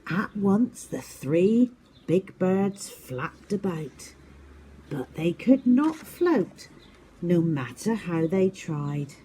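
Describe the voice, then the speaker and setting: A woman's voice: on the low side, very animated, very slow, mellow, a little hiss or hum. A woman narrating a children's story expressively, as a teacher does at story time.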